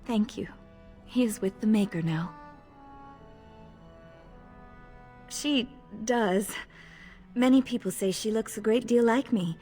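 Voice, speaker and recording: A woman playing a modest, gentle elven lady-in-waiting in a video game, speaking politely and deferentially. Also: a little high, unhurried, close to an everyday voice. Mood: modest, tender. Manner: polite, deferential, soft-spoken. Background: music.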